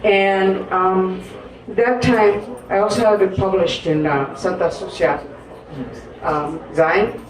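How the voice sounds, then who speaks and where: A woman's voice: medium pitch, steady tone, a crowd in the background. Woman poet telling her own story on a live stage, earnest and hesitant, recorded from afar in a roomy hall. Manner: halting, earnest, with frequent pauses and fillers. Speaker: poet and guest. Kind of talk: personal storytelling in a live interview. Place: live stage event in an echoey room. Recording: echoey room from a distance.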